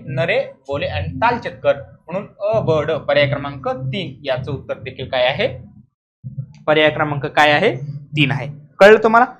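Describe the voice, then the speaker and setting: A man's voice: sits high, fairly bright tone. A man teaching an exam coaching lesson, explaining emphatically, repeating key points and often checking understanding with rhetorical questions.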